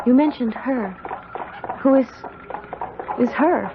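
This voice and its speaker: High-voiced, timid young country girl. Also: slow pace, noisy background. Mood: uneasy, curious. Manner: hesitant, plain-spoken, nervous. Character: timid but plucky country girl, naive young servant. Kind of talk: 1970s radio drama acting. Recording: narrow-band old radio broadcast.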